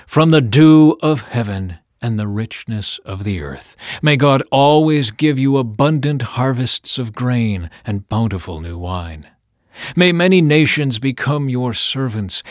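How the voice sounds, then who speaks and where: Guy doing a solemn old patriarch dad from a bible story, slow emphatic declaiming, dry sound. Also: mid-range voice, dry recording, lightly acted. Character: aged patriarch father, solemn, giving blessings.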